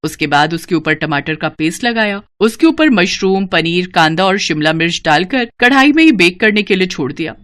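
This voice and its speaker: A woman's voice: on the low side, animated, moderate pace, few pauses, fairly bright tone. A woman narrating a scripted moral story for children as a storyteller.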